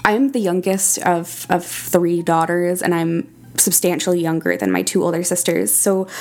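A woman's voice: lowish voice, lively intonation, moderate pace, a little mellow. A woman speaking conversationally as an interview guest, enthusiastic, thoughtful and articulate. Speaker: interview guest, writer and audio drama creator.

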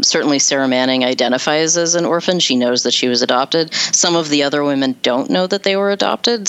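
Clear, smooth-voiced woman explaining thoughtfully. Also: rumbling low. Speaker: interview guest, science fiction writer. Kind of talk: conversational interview answers.

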